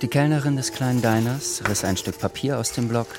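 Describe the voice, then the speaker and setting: A man's voice: mid-range voice, a little fast, close to an everyday voice. A man narrating a literary radio drama in scripted prose. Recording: music under the voice.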